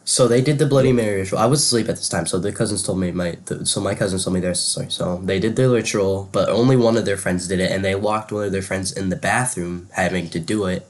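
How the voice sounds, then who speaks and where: Low-voiced young man, slangy storyteller. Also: quick pace, hardly any pauses. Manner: slangy, loose, says "bro" and "like". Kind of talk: casual spoken storytelling and chat.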